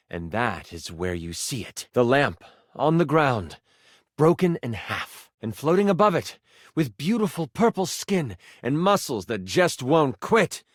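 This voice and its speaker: An actor playing a theatrical game master, narrating a fantasy adventure in a dramatic, suspenseful way. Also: male voice, a little high, no echo, clean studio sound. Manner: dramatic, suspenseful second-person storytelling.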